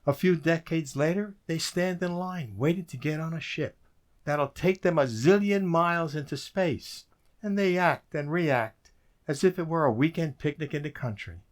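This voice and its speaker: A man's voice: fairly high pitch, normal speed, close to an everyday voice. A man in a community radio play, playing a weary, wry government code breaker who reflects on his story. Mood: wry, resigned. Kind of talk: community theater radio drama, narration and dialogue. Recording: roomy, distant stage sound with noise.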